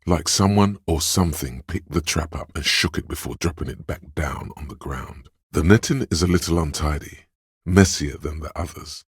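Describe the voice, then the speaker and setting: A man voicing the inner sense of perception in a game, measured, watchful and dryly descriptive. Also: rumbling low, breathy edge, dry recording, studio quality, exaggerated voice. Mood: observant. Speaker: voice of a detective's perception skill. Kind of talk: scripted game voice lines.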